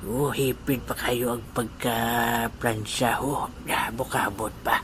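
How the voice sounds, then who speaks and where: An easygoing old grandfather, played by a man who talks slowly and laughs things off. He mutters quietly to himself between jokes. A steady background noise runs under the amateur recording. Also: medium pitch, lightly acted. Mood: muttering. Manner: laughing, good-humoured, slow, muttering asides. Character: good-natured old grandfather, joking and easygoing. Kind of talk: amateur audio drama.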